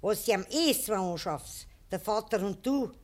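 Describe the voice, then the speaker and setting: An actress playing a bitter, scolding older farmer's wife with a Bavarian accent, lamenting and nagging in a 1950s dialect radio play. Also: female voice, medium pitch, animated, normal speed, natural voice.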